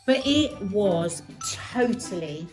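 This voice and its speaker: A woman's voice: medium pitch, animated, slow pace, over music. A British woman vlogging in casual chat to camera, talking in an effusive, exclamatory way. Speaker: family vlogger.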